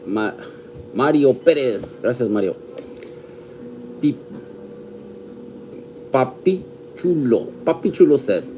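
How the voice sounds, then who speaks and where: A man's voice: mid-range voice, lo-fi sound, a little hiss or hum. Fifty-something man thanking livestream viewers. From California. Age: 50s. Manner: warm, thankful, reads out viewer names slowly; mixes Spanish phrases into English. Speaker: livestream host. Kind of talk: casual livestream chat reading comments. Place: home.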